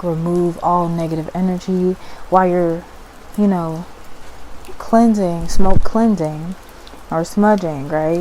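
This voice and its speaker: Low, mellow woman speaking softly for ASMR. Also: poor audio. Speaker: ASMR host and spiritual practitioner. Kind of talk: ASMR, soft-spoken informal explaining. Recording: faint background noise and ambience.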